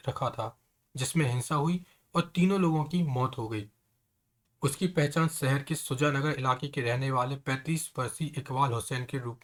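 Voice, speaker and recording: A man hosting an online news explainer, talking straight to viewers in an earnest, conversational way. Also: fairly high pitch. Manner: earnest, repeatedly addresses viewers as friends.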